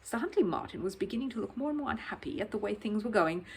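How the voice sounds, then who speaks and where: A woman reading a children's story as an expressive, dramatic narrator. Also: medium pitch, fast talker, slightly rough. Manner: animated, dramatic.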